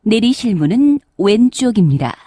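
A woman's voice: mid-range voice, big pitch swings, normal speed, warm tone, slightly rough, dry recording, studio quality. A woman reading scripted subway announcements in a formal, polite, carefully enunciated way. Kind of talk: scripted public transit announcement.

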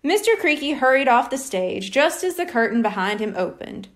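A woman narrating a children's book aloud in an expressive, deliberate storytelling manner. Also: sits high. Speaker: audiobook reader.

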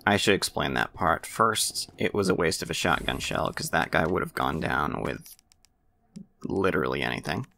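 A man's voice: a bass voice, lively intonation, normal speed, gravelly, pushed and bright. A man giving casual gameplay commentary, offhand and thinking aloud. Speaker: video game player and commentator.

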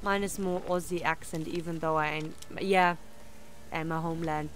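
A woman's voice: sits low, fairly even intonation, moderate pace, a little hiss or hum. A young German-born woman with a British-tinged English accent, chatting casually with viewers while streaming a game from home. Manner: chatty, reads viewer comments aloud. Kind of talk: casual live-stream chat while gaming. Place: room at home, indoors. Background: game music and sound effects.

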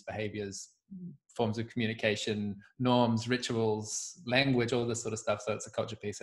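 Male panelist, mid-pitched, flat even delivery, earnest explainer. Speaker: consultancy co-founder. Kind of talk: video call podcast introduction. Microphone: video call.

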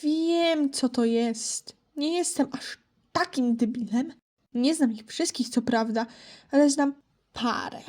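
A woman's voice: sits high, slow, darkish tone. A woman voicing a small young male wolf in an audio drama, guarded and snide, with a curt, dismissive delivery. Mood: dry, snide. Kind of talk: Polish amateur audio drama acting.

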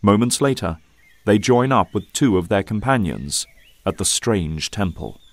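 A man's voice: fairly low pitch, animated, measured pace, slight background noise. A man reading scripted dramatic narration as the narrator of an audio drama. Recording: music bed under the voice. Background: music and effects.

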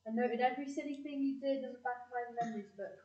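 A woman's voice: fairly high pitch, quick pace, dark tone, natural voice. A woman with a British accent playing a wistful, teasing old sweetheart in an amateur audio play. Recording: echoey room, distant muffled mic.

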